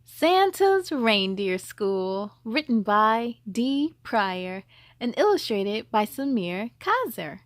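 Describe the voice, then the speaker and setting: A woman hosts a children's read-aloud in a very high, lively voice. She takes her time and addresses young listeners directly. The sound is close and dry. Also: slow, no echo. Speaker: story-time host.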